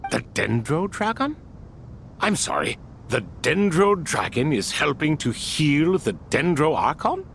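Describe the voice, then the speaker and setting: A theatrical old sage from a fantasy game, creaky and fairly high for a male voice. He swoops through flowery phrases with grand pauses, over a faint rumble. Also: very animated, fairly bright tone, full character voice. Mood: astonished, amused. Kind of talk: video game cutscene acting.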